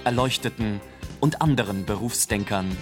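A man's voice: lowish voice, normal speed. Male radio drama announcer over music. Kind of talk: scripted radio narration, reading credits. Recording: music under the voice.

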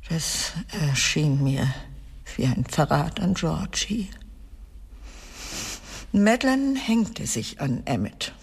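Radio drama casting: embittered wealthy wife in middle age, low-energy slow confession, husky and breathy, reverberant space. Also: female voice, darkish tone, full character voice. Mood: bitter, confessing. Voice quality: husky, creaky. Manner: slow, halting, weighty delivery with breathy drops. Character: bitter, broken middle-aged wealthy wife confessing dark secrets. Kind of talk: dramatic radio play monologue. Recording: long reverberant hall sound.

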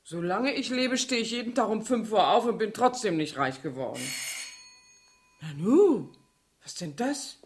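A woman's voice: mid-range voice, expressive, measured pace, slight background noise. An actress in a German radio play, playing a chatty, cheeky cleaning woman who feigns naivety and grumbles in a pert, folksy way.

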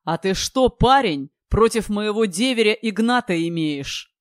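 A narrator puts on an older tradesman deep in drink for an audiobook. He slurs, swears and pushes every line like a threat. The sound is dry and close. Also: slow pace, dry recording, close to an everyday voice. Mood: drunk, menacing. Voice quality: slurred, drunken. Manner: belligerent, menacing, repetitive swearing. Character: drunk, aggressive, foul-mouthed older tradesman picking a fight.

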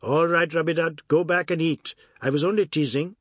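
Man voicing a high-pitched, boastful, teasing older man. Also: fairly even intonation, moderate pace, dry recording, close to an everyday voice. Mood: indulgent, pleased. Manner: needling, giggly, emphatic, lecturing. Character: boastful, teasing, mischievous older male relative, grey-haired, domineering.

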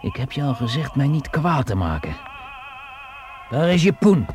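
An actor playing a cocky, menacing young hitchhiker robber in a radio drama, chatty, streetwise and taunting. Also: male voice, medium pitch, big pitch swings, moderate pace, darkish tone, soft background music, natural voice. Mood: smug menace. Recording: noisy radio play, vehicle noise behind.

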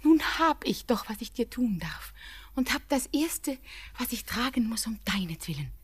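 Classic radio drama: high-pitched young beloved, anxious and fervent, poetic stage diction. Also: female voice, very animated, normal speed, breathy edge. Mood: tender, anxious. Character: young woman in love, anxious, devoted, pleading.

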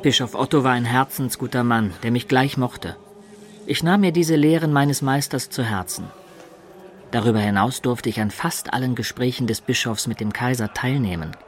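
An actor playing a bishop's pupil who narrates memories of court in a radio drama, in a plain storytelling manner. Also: male voice, medium pitch, moderate pace, a little hiss or hum, lightly acted. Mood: reminiscing.

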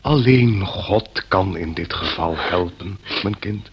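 An actor in an old radio play playing a calm, pious pastor who soothes in a solemn, paternal manner. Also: male voice, low voice, takes it slowly, husky, heavily acted. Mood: soothing.

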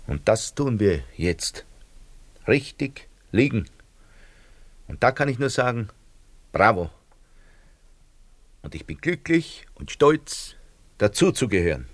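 An actor playing a middle-aged, self-pitying, fawning Austrian shopkeeper in a radio drama, rambling and theatrical with an Austrian accent. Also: male voice, on the low side, very animated, takes it slowly, long pauses, dry recording. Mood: pompous, ingratiating.

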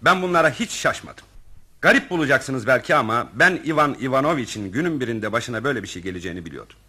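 Brisk, pompous official. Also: male voice, medium pitch, expressive, bright, forceful tone, breathy edge. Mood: smug. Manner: pompous, enumerating. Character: self-assured high-ranking official, wordy and unsurprised. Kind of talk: Turkish radio theatre comedy acting.

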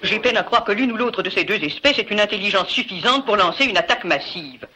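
Smug lady bird expert, bright clear tone, film dub. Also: medium pitch, animated, quick pace. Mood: dismissive. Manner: lecturing, dismissive. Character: prim middle-aged woman ornithologist, know-it-all. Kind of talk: dubbed film dialogue.

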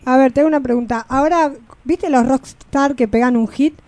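A woman's voice: sits high, moderate pace, a little mellow, no echo. An Argentine woman hosting a radio show, interviewing in a casual, conversational way.

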